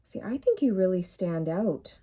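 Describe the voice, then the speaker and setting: Husky, dark-voiced woman reading tarot. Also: lowish voice, expressive. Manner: musing, unhurried, many fillers. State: losing her voice. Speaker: tarot reader. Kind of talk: casual tarot reading to camera.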